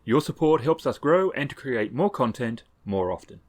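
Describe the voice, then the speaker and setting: Animated man reading a podcast announcement. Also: mid-range voice, moderate pace. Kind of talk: scripted podcast support announcement.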